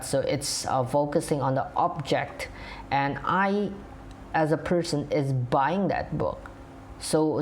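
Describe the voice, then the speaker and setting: A man's voice: on the high side, darkish tone, a little hiss or hum. A young man with an Indonesian accent, whose first language is Indonesian, explaining in English with many fillers and restarts in a reaction video. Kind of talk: casual explaining to camera.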